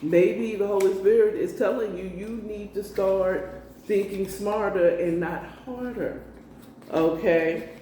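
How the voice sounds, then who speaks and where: A woman's voice: medium pitch, takes it slowly, mellow. An American woman giving a casual spiritual card reading, coaxing emphatically and repeating herself with frequent check-in tags. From United States. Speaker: tarot card reader. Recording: roomy, distant mic with faint noise.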